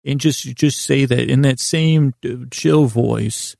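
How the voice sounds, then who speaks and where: A man's voice: mid-range voice, slow pace, vocal fry, a little mellow, dry recording, clean studio sound. A man voicing an awkward, fussy, sleepless person giving insistent instructions to a neighbour in a podcast bedtime story. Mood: awkward requesting.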